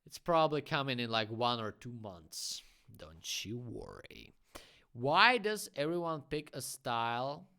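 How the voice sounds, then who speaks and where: A man's voice: medium pitch, animated, measured pace, sharp tone, clear, resonant tone. A man who speaks English as a second language, hosting a casual livestream Q&A as a graffiti artist and chatting frankly through his opinions. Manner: chatty and frank, giving opinions with friendly asides.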